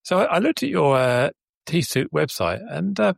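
A man with a deep voice hosting a casual podcast interview, asking loose, meandering questions. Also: moderate pace, vocal fry, no echo, studio quality. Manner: relaxed questioner, meandering phrasing. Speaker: podcast host.

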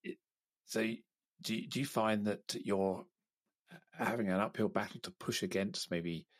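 A man's voice: on the low side, unhurried, no room sound. A man hosting a conversation in a friendly way, often stumbling and restarting his sentences. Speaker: host.

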